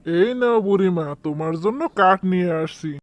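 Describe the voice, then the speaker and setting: A man's voice: high voice, normal speed, lightly acted. A man voicing a polite, helpful woodcutter in a children's cartoon story, in a muffled recording. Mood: kindly.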